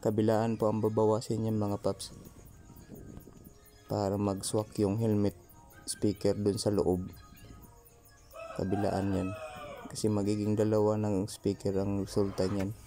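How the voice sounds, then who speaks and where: A man's voice: fairly low pitch, monotone, measured pace, long pauses, dark tone, faint music behind. A man with a Filipino accent narrating a casual how-to vlog, talking informally to viewers as his buddies. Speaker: vlogger.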